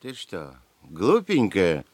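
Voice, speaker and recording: An actor playing a gruff, dismissive taxi driver in a radio play, scolding in colloquial speech. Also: male voice, low-pitched, wildly swooping pitch, slow pace, dry recording, studio quality, heavily acted. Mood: gruff, annoyed.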